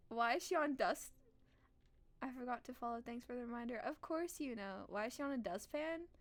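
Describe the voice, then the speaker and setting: A woman streamer, playful and expressive, reading a visual novel aloud and chatting on a live stream. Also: fairly high pitch, unhurried, long pauses. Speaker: game streamer. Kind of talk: live stream chat and read-aloud narration.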